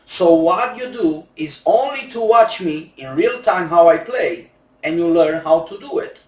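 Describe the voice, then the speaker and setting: A man with a Romanian accent, a native Romanian speaker using broken English, giving a slow, emphatic sales pitch for roulette courses. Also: expressive, a little mellow. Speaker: roulette instructor selling courses. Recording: echoey room, distant mic.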